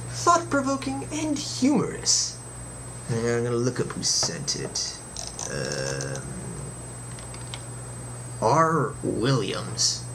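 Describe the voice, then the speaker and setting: Guy doing a cocky superhero talking to his fans, folksy and opinionated, pitch jumping all over, some hiss behind him. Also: exaggerated voice. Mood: amused, musing. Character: cocky adventuring male superhero chatting to fans. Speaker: show host in character. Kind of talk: comic monologue, reading a letter aloud. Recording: background noise behind the voice.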